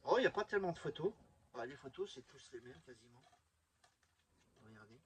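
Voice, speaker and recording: A man's voice: fairly high pitch, big pitch swings, fairly quick, fairly bright tone, slight background noise. A middle-aged man chatting enthusiastically and informally to viewers as he presents a hobby vlog. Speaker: video presenter showing a collection.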